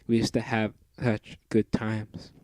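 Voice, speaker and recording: A man voicing a dying robot speaking slowly and quietly, faltering as he goes. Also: on the low side, completely flat delivery, no echo. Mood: wistful. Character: dying robot recalling his friends.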